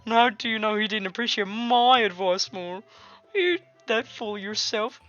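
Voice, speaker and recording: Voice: medium pitch, normal speed, a bit bright, voice pushed up, close to an everyday voice. A gamer voicing a feisty, easily offended anime schoolgirl with a snappy, whiny delivery in a visual novel read-aloud. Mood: indignant. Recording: dull lo-fi mic, music behind.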